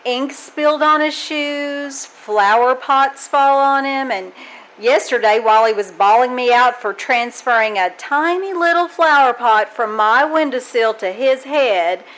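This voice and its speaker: A woman playing a wry, weary schoolteacher with a deadpan, sardonic delivery in an old-time radio comedy. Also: sits high, a little slow, rough recording. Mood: resigned. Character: wry, weary female schoolteacher with dry wit. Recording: faint noise, live stage performance.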